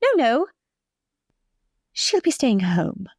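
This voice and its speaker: A poised high-society lady, acted by a woman in a tabletop game. Her voice is fairly high and dry, with refined, formal phrasing and a patronising, imperious edge. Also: sing-song, takes it slowly, darkish tone, dry recording, exaggerated voice. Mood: gracious, composed. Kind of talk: improvised tabletop roleplay.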